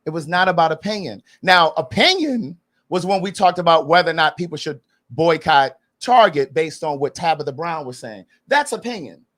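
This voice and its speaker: A man's voice: a little high, expressive, moderate pace, a bit bright. A man in his forties hosting a casual chat, stressing his points by repeating them and laughing heartily. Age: 40s. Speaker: podcast host.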